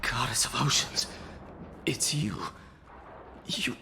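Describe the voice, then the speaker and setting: An actor playing a loyal male alien assistant, full of awe, with a gravelly voice in a sci-fi video game. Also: mid-range voice, slow, background noise. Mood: stunned, hushed. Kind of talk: cinematic video game voice acting.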